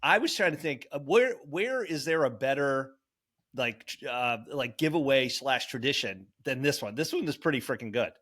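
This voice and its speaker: A man's voice: mid-range voice, animated, moderate pace, a bit bright, slightly rough. A man co-hosting a podcast, telling stories in a rambling casual way with many fillers and self-corrections. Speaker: podcast co-host. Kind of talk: casual chat.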